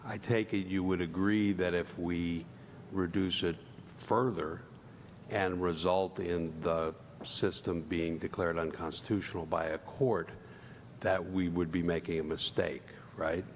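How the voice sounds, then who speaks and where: A man speaking as a commissioner at a public hearing, with deliberate, lawyerly, measured phrasing. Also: steady tone. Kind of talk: formal questioning at public hearing. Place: large indoor public hearing room. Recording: echoey hall, rumbling room noise.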